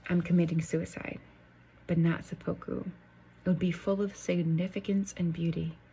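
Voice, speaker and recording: A woman narrating a novel in the first person, with a measured, dry and deliberate delivery. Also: on the low side, little pitch movement, dark tone, husky, rough recording. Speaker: audiobook narrator.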